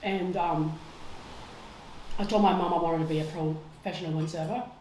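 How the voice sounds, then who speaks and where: A woman's voice: lowish voice, fairly even intonation, moderate pace, dark tone, reverberant, slight background noise, rough recording. A woman in her thirties from Hong Kong, a podcast guest chatting bluntly at home, swearing freely and laughing often. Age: 30s. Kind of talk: casual chat.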